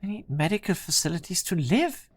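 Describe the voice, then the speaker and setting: A woman's voice: lowish voice, big pitch swings, brisk, husky. A woman voicing a worried young engineer in an amateur fan dub, anxious and pleading.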